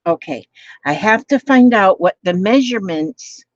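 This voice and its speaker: A woman hosting a live crafting stream, talking softly with her viewers and gasping over pretty papers. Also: mid-range voice, lively intonation, normal speed, muffled recording. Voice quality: gentle voice. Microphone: phone.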